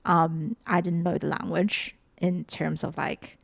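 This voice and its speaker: Young woman, low gravelly voice, chatty, filler-heavy, dry close mic. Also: little pitch movement, measured pace, no echo. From South Africa. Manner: chatty, filler-heavy, ends points with "right?". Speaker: podcast co-host. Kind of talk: casual chat.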